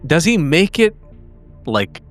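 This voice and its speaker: A man playing a tabletop roleplaying game, speaking casually in a halting, wordy, erudite way. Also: a little high, sing-song. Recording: music bed behind the voice.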